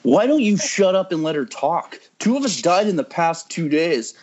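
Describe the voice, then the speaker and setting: A man's voice: fairly high pitch, very animated, normal speed, a little mellow, slightly rough. A man reading the part of a hot-headed, lovesick young artist in an amateur table read, blunt and sweary, over an internet call. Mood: angry, sarcastic. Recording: muffled, noisy internet call.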